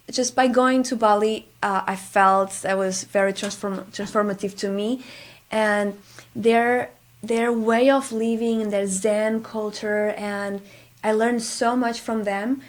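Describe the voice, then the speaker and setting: A woman from Portugal with a Portuguese accent, talking as an interview guest in a halting, conversational way. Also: medium pitch. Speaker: radio guest, interior designer.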